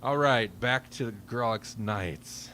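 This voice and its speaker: Casting: male podcast host, mid-pitched and crisp-edged bright tone, laid-back joker, slight hum on the recording. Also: takes it slowly. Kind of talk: casual chat.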